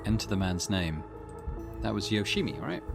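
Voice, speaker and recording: A man's voice: fairly low pitch, sing-song, normal speed, vocal fry. A man giving casual let's play commentary on a game, musing and thinking aloud. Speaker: gaming channel host. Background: game music.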